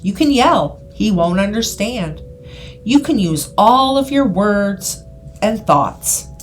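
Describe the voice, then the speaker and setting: A woman reading a scripted guided hypnosis session in a soothing, deliberate manner, with repeated phrases and pauses. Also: mid-range voice, animated, slight background noise. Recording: voice over background music. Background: background music.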